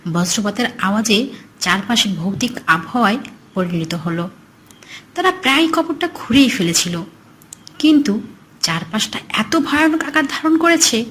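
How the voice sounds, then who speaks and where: A woman's voice: medium pitch, fairly bright tone, a little hiss or hum. A woman reading a scripted horror story as its narrator.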